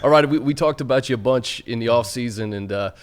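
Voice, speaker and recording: A man's voice: medium pitch, quick pace. A man working as a sports show host, speaking in a practised presenter's style. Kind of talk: casual hosting and scripted sponsor read.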